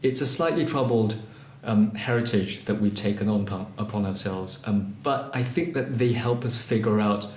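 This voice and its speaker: A man's voice: lowish voice, normal speed, a little mellow. A man from Malaysia answering interview questions about his novels, thoughtful and hesitant, with many ums and restarts. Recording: echoey room, faint ambience.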